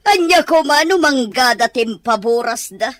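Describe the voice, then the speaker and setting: A woman's voice: on the high side, animated, moderate pace, crowd noise, natural voice. A woman voicing a skeptical, gossipy older village woman in an audio drama, asking pointed questions. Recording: faint background music.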